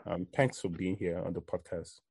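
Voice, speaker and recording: A man's voice: sits low, fairly even intonation, normal speed, a little mellow, slightly rough. A man hosting a podcast, asking his guest long rambling questions with hesitations. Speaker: podcast host. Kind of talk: casual interview.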